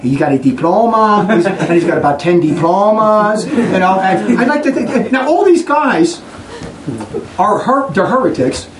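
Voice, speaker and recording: High-voiced guy preaching fast and blunt, mic far off in an echoey room. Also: animated, crowd noise. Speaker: religious preacher. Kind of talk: impassioned religious talk to a group. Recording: echoey room, distant mic, faint noise.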